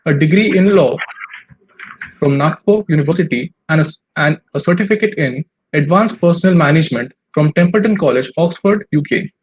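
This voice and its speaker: A conference anchor formally thanking a speaker and introducing the next panel from a script. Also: female voice, deep voice, a little mellow, slight background noise, low-quality recording. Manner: formal, effusive, reading from a script.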